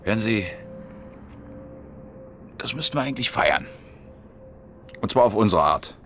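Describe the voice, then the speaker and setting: A man's voice: on the low side, sing-song, measured pace, fairly bright tone, slight background noise. A man acting a chatty, down-to-earth repairman in a German radio play, colloquial, jovial and blunt. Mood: jovial, probing. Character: chatty down-to-earth washing-machine repairman, eager billiards player. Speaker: radio drama actor.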